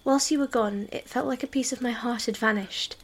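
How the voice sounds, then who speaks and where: A woman playing a brave, affectionate halfling adventurer in an audio drama, speaking in a soft, earnest way. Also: on the high side, a little fast, slightly rough. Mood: wistful.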